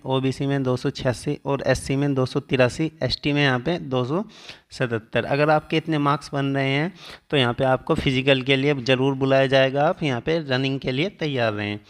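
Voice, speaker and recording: A man's voice: medium pitch, clear, resonant tone. A man speaking as a coaching teacher, explaining an exam update step by step and listing figures.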